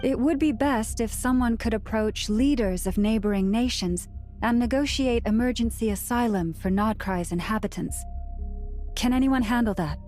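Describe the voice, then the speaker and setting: A woman's voice: on the high side, moderate pace, a little mellow, soft background music, natural voice. A woman playing an earnest, serious, responsible leader in a fantasy video game. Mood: practical.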